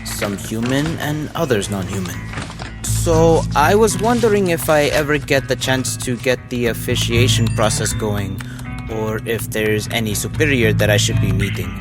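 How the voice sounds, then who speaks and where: A man plays a streetwise young recruit in an audio drama, with a middle-pitched voice over heavy music. He tells the story casually and wryly, in a sardonic way. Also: expressive, normal speed, lightly acted. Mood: curious. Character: wry young male recruit, streetwise narrator. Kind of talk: audio drama narration and dialogue.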